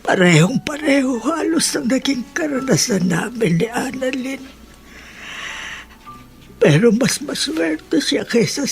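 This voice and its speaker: Slow, plaintive older Filipino aunt. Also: female voice, fairly high pitch. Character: older aunt, cured former leprosy patient, gentle and resigned. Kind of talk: Filipino radio drama acting.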